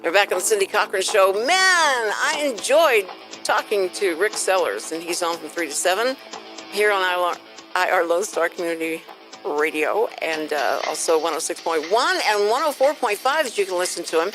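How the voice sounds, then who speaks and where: A woman's voice: fairly low pitch, animated, normal speed, fairly bright tone, faint music behind, poor audio. A woman hosting a casual radio interview, chatty and effusive with exclaiming reactions. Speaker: radio show host. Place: radio studio.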